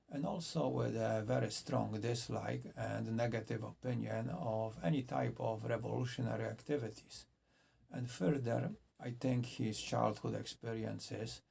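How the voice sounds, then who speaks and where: Gravelly man reviewing books. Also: mid-range voice, darkish tone. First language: Polish. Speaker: book reviewer. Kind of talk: scripted book review.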